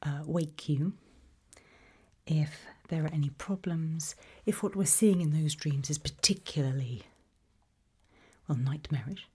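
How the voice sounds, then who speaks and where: A British woman speaks in a very breathy ASMR whisper, low and soft-toned. She plays a calm sleep clinic practitioner, taking her time with slow, gentle reassurance. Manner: gentle, soothing, unhurried reassurance.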